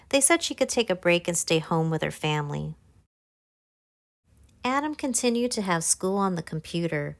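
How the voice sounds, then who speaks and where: A woman narrating a children's picture book, reading aloud in simple, clear phrases for young listeners. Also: on the low side, a bit bright, no echo. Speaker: author narrating her own children's book. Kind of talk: scripted children's storybook narration.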